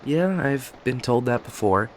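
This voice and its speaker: A man's voice: medium pitch, unhurried, natural voice. A man acting a talkative, kindly cafe owner in an ASMR roleplay, chatting to the listener in a friendly, hesitant, apologetic ramble. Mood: sheepish, rambling. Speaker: voice actor in an audio roleplay. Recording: steady rain noise behind voice. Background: rain and cafe ambience.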